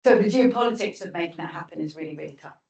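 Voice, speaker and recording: A woman's voice: fairly low pitch, animated, very fast, gravelly, a little mellow, muffled recording. A woman giving a guest lecture and answering audience questions, thoughtful, often restarting and reframing as she goes. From United Kingdom. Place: lecture hall. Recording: echoey lecture hall, mic from far off.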